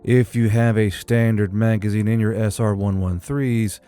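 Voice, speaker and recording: A man acts out a seasoned space-infantry sergeant in an audio drama. He briefs calmly and matter-of-factly in a low, mellow voice, with music behind him. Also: moderate pace, clear, resonant tone, close to an everyday voice. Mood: calm, businesslike. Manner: steady, matter-of-fact briefing.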